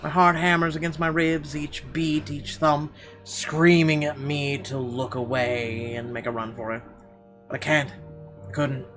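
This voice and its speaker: A man's voice: a little high, little pitch movement, unhurried, a bit bright. Theatrical man narrating over music. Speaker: gaming video host. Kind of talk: dramatic read-aloud of visual novel narration. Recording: music behind the voice. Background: game music.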